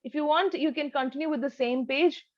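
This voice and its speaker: A woman with a high voice works as a speaking tutor in a one-to-one lesson. She gives instructions and models sentences with exaggerated rise and fall. The sound is close and dry, though a little muffled. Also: brisk, no room sound.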